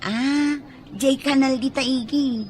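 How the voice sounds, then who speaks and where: A woman's voice: high voice, steady tone, slow, darkish tone. An actress with an Ilocano Filipino accent playing a forthright young provincial woman in a radio drama. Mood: defensive. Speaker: actor. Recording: noisy track with ambient sound.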